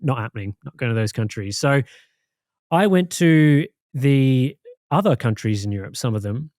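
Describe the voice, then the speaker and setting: An Australian man co-hosting a podcast, recounting things in a rambling, relaxed way with many fillers. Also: medium pitch, normal speed, dry recording, studio quality. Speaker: podcast co-host. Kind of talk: casual chat.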